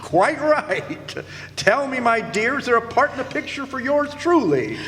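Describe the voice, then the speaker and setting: A man's voice: very high voice, very animated, a little slow, a crowd in the background, exaggerated voice. A man playing a pompous, boozy old-time radio comedian host, with florid, mock-gallant talk. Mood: arch, flattering. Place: stage in a hall. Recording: echoey hall, clear background noise.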